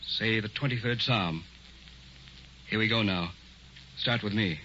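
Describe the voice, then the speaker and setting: Radio play villain: escaped mad doctor, cold, commanding, forceful edge, faintly noisy vintage sound. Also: male voice, fairly low pitch, measured pace. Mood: commanding, intent. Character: mad escaped doctor posing as professor, coldly menacing. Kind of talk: old-time radio drama acting. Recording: dull, hissy old radio recording.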